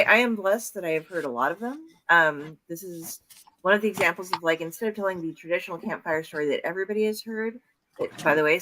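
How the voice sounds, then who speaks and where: A woman's voice: fairly low pitch, pushed and bright, a little hiss or hum, rough recording. A woman hosting a casual video-call chat about storytelling, rambling with fillers and restarts. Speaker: host.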